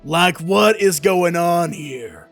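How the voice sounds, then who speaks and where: A man acts out a gruff, sardonic detective in a comic book audio drama. His voice sits fairly high, and he talks in blunt streetwise wisecracks over faint music. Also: lively intonation, takes it slowly, fairly bright tone, full character voice. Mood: brusque, sardonic. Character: gruff, wisecracking, world-weary male police detective. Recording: music behind the voice.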